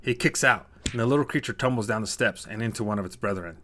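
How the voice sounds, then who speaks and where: A man's voice: lowish voice, fast, bright, forceful tone. A man narrating a scripted short story as a storyteller.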